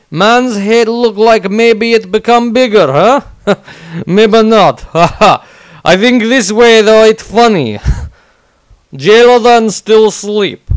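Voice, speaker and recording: Man acting as barbarian warrior, high-pitched, broken grammar, blunt, violent relish, dry close sound, fantasy diary narration. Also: smooth, dry recording. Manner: clipped broken grammar, deliberate pacing. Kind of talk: in-character diary narration, fantasy audio story.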